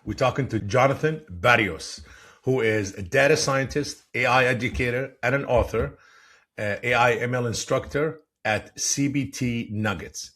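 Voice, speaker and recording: A man's voice: lowish voice, a bit bright, breathy edge. A man hosting a podcast interview, asking long, rambling questions that he often restarts. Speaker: podcast host.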